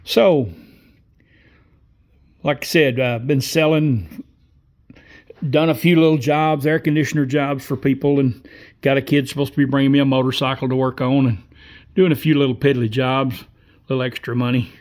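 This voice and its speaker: Guy in his 60s rambling thru a story, lots of uh pauses, folksy and easygoing. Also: medium pitch, fairly even intonation, darkish tone. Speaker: vlogger. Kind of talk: casual storytelling monologue.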